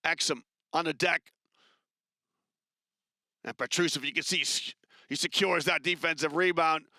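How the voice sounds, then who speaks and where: Guy doing basketball play-by-play, bright crisp voice, dead dry sound. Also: a little high, no echo. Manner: brisk, chatty, rises with excitement on big plays. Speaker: play-by-play commentator. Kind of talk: live basketball commentary.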